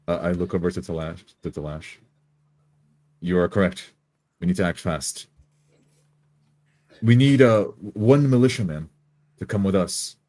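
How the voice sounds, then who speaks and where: Deep, mellow man roleplaying. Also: unhurried, long pauses, no room sound. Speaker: tabletop game player. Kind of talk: in-character roleplay with first-person narration.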